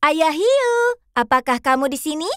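A woman's voice: sits high, very animated, normal speed, background noise. A woman voicing a cheerful cartoon fox who coaxes children to join the search in a sing-song way. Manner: sing-song, coaxing, addresses the kids. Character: bright cheerful pink fox guide.

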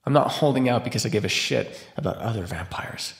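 In an echoing room, a man plays a captive male vampire in an audio roleplay. His lowish voice is gravelly and swings widely in pitch. He is sardonic, teasing and starved to the point of desperation. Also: lively intonation. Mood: bleak, confessional. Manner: sardonic, teasing, breathy pauses and sighs. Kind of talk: immersive ASMR roleplay monologue. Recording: roomy, echoing sound.

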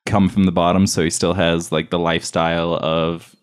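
A man's voice: sits low, little pitch movement, normal speed, clean tone. A man chatting casually as he brainstorms comedy bits, swearing and slangy, often slipping into acted lines. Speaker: sketch comedy podcast crew member.

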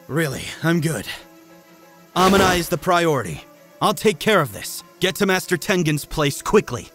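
A cocky young sorcerer played by a man in a manga dub, with a lively, swinging delivery. His voice sits fairly high and turns taunting and emphatic, with music playing underneath. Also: unhurried, long reverb tail. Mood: nonchalant. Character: cocky young male sorcerer, brash and keenly analytical. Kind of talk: amateur manga dub acting.

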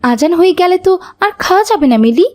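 A woman's voice: extremely high pitch, expressive, fairly quick. A woman voicing a sensible, caring older sister in a cartoon, earnest and admonishing.

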